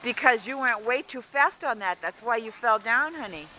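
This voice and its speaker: A woman's voice: a little high, expressive, normal speed, bright, forceful tone. A woman chatting casually to her small child, cheering him on in an encouraging, baby-talk way while filming a home video. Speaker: mother filming her child's first ski outing. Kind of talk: casual family chat. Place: outdoors on a snowy ski slope. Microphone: handheld camera, speaker behind it. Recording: outdoor home video with clear background noise.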